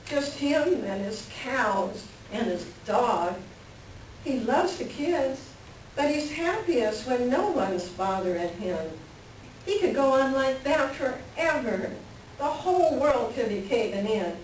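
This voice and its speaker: A woman's voice: fairly high pitch, big pitch swings, slow. A woman playing a lonely, frustrated farm wife in a play reading, plain-spoken and wistful. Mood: resigned, frustrated. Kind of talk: staged play reading, conversational dialogue. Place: library reader's theater reading. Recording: echoey room from far off, faint noise.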